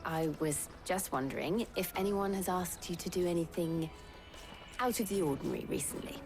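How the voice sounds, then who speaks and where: An actress playing a composed, determined and gently kind woman adventurer in a fantasy video game. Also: fairly low pitch, moderate pace, soft background music, natural voice. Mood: gentle. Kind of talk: dramatic video game acting.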